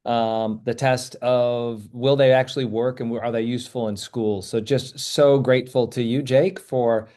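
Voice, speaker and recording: A man hosting a webinar, speaking in an appreciative, measured way as he thanks people and takes questions. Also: medium pitch, fairly even intonation, dark tone. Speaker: webinar host. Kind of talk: webinar presentation and Q&A.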